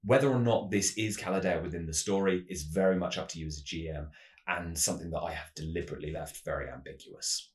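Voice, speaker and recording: A man hosting a tabletop roleplaying channel, giving scripted explanations in a measured, storyteller-like way. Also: sits low, reverberant.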